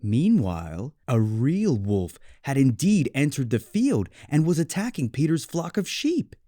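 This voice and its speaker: A man with an American accent reading a scripted storybook tale for English learners in clearly phrased, measured storytelling. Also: mid-range voice, sing-song, mellow, no echo, studio quality. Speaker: story narrator.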